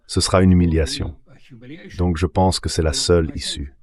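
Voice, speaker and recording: A man delivering a measured, explanatory political analysis as an interview guest, in a dubbed translation. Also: deep voice, steady tone.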